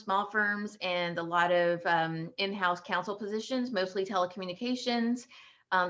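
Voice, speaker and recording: A woman's voice: on the low side, bright, forceful tone, lo-fi sound. A woman from Texas in her forties, telling her career story to students with many ums and restarts. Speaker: panelist, patent attorney.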